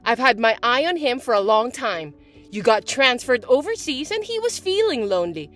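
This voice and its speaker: A woman voicing a bratty, spiteful young stepsister who talks in a shrill, mocking, bossy way. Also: sing-song, normal speed, fairly bright tone, faint music behind. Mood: gloating. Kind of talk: voiced text-message drama.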